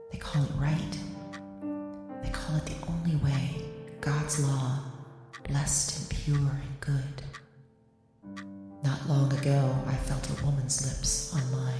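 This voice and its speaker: An actress playing a trapped, oppressed wife in an audio drama, speaking a solemn, poetic monologue in short, deliberate phrases. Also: female voice, very deep voice, heavy reverb, big-room sound, exaggerated voice. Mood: bitter, then tender, longing. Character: trapped, oppressed wife longing for her female lover. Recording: music under the voice. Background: music.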